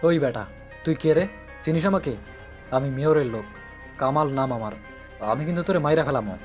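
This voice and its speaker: A man's voice: a little high, expressive, fairly quick, faint music behind, natural voice. A man playing a crude drunken thug in an audio story, coarse and sneering. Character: crude drunken male thug, leering and menacing.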